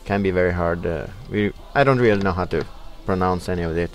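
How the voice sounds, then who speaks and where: Young Norwegian man, low voice, halting casual game commentary, faint music bed. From Norway. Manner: hesitant, many uh fillers, reading in-game text aloud. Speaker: let's play host.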